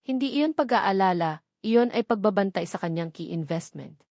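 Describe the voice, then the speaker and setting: A Filipino woman narrates a scripted romantic drama. Her voice sits at a middle pitch, and the recording is dry, with very little room sound. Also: animated, moderate pace, a little mellow, dry recording. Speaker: story narrator.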